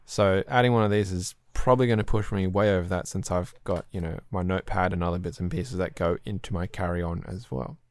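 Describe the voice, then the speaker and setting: A man hosting a podcast, chatting casually and rambling a little, clearing his throat often, his voice slightly throaty. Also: low-pitched, fairly even intonation, brisk, hardly any pauses, dry recording. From Australia. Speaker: podcast host. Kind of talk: casual chat about keyboards.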